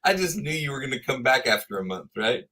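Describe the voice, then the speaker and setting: A Texan man in his fifties, a podcast guest telling animated stories and laughing as he goes. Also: medium pitch, fast. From Texas. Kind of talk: storytelling interview chat.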